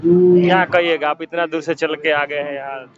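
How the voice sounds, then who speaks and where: A man's voice: on the high side, steady tone, normal speed, dull audio, music in the background. A man conducting a street interview as a reporter at a protest sit-in. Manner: frequent short acknowledgements while listening. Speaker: interviewer for a news channel.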